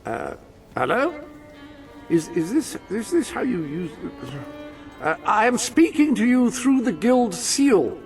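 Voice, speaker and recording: An actor playing a fussy, learned and loyal British butler in a video game, formal and wordy. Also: male voice, high voice, big pitch swings, slow, a little mellow, soft background music, full character voice. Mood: helpful, fussy. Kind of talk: video game cutscene acting.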